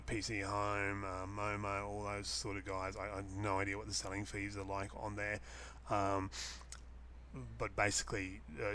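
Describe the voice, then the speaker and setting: Low-voiced Australian man with a bright edge. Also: slight background noise. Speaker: host answering a viewer's question, online seller. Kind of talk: casual unscripted explainer to webcam. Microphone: webcam setup.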